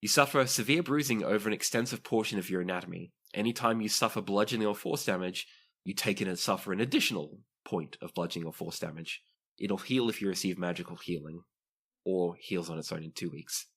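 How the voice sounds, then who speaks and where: A man's voice: sits low, quick pace, fairly bright tone. A man narrating a tabletop roleplaying game as game master, describing scenes and explaining rules to players.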